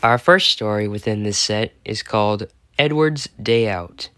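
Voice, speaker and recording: A man's voice: lowish voice, measured pace, bright, forceful tone, clean tone. A man with an American accent reading a bedtime story and chatting about it as he goes. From United States. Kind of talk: storytelling and chat.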